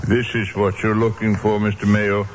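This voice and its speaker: A man playing a formal, matter-of-fact cemetery records clerk in an old-time radio drama, speaking in a measured, solemn way. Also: on the low side, level tone, muffled recording, a little hiss or hum, lightly acted. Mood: solemn, factual.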